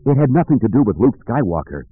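A man voicing a bitter, defiant young pilot with a croaking, defensive delivery in an audiobook. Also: mid-range voice, big pitch swings, no room sound, phone-quality sound, pitched above the performer's own voice. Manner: halting, defensive. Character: bitter, defiant young male pilot turned against friends.

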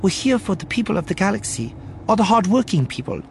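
Audiobook role: anxious, earnest little alien publicist woman, read by a man, high-pitched, polite and eager. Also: very animated, quick pace, vocal fry, slight background noise, heavily acted. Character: small, earnest, nervous alien woman public relations representative. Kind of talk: audiobook character voice.

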